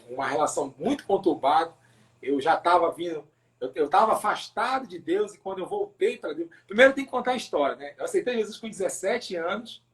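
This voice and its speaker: A man from Pará with a northern Brazilian accent telling his personal testimony as a pastor in a casual interview, haltingly, repeating words and adding tag questions. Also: a little high, poor audio. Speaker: pastor, podcast guest.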